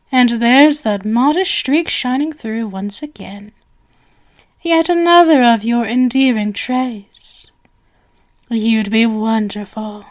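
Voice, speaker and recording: A woman playing a genial but sinister stage magician in an ASMR roleplay, with flowery, theatrical, coaxing speech. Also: fairly high pitch. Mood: doting, flattering.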